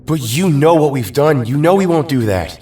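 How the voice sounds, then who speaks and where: A man's voice: fairly high pitch, animated, normal speed, warm tone, far from the mic, natural voice. A man playing an earnest, anxious young hero in an audio drama with a natural delivery. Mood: worried. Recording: slightly off-mic.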